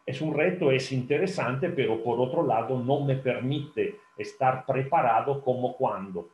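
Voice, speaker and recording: Italian native guy lecturing on a video call, slow and halting, lots of ums, echoey room. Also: medium pitch, darkish tone, dull audio. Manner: hesitant, many fillers and restarts, asks the audience. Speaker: telephone interpreter giving a talk. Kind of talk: lecture to students. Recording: roomy video call audio.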